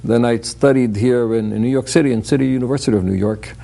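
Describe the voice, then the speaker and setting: A man's voice: mid-range voice, steady tone, fast, creaky, warm tone. An older man from Puerto Rico, a guest on a talk show, earnestly telling his life story.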